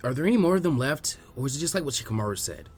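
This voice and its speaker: A man's voice: medium pitch, very animated, racing, slightly rough. A man voicing a blunt, easygoing boy who is training as a ninja, with a casual way of talking. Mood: subdued, curious.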